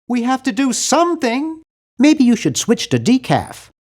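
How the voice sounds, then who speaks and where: A man voicing a nerdy, earnest and anxious young hero in a video game, with a fussy, animated delivery. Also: very high voice, measured pace, heavily acted. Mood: worried, pleading. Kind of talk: video game character voice lines.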